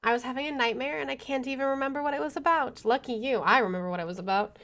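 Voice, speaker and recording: A woman's voice: high-pitched, rapid-fire, a bit bright. A woman streamer reading visual novel narration aloud, expressively, with casual chatty asides. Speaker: video game streamer.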